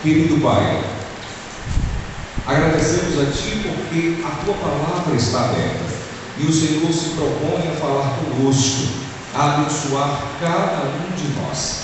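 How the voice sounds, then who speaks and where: A man's voice: a little high, a little slow, background noise. A Brazilian man in his thirties with an Alagoas accent, preaching a sermon to his congregation in an earnest, direct way. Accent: Brazilian Portuguese, Alagoas. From Brazil, Maceió. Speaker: pastor. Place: church sanctuary. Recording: echoey church hall, far from the mic.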